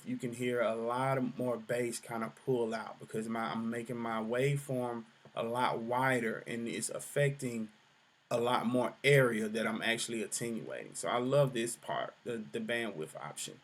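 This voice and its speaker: A young adult man with a deep voice giving a relaxed music production tutorial, full of fillers. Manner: relaxed explaining, many fillers. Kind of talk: screen-share tutorial explanation.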